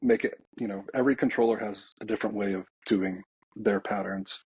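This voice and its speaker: A man's voice: fairly low pitch, steady tone, brisk, slightly rough, no echo. An American man in casual podcast conversation, talking as an air traffic controller in an informal, hesitant, reflective way. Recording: slightly dull, lo-fi voice track.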